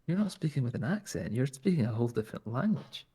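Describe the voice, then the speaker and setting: A man's voice: mid-range voice, big pitch swings, quick pace, warm tone, husky. A man with a Scottish accent talking in character during a roleplay, flirtatious and teasing. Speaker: roleplay player.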